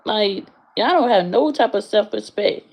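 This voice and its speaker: A woman's voice: mid-range voice, expressive, unhurried, vocal fry, darkish tone. A young woman from the United States in her bedroom, chatting casually and bluntly with her livestream viewers. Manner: blunt, frank, swears freely. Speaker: livestreamer answering chat.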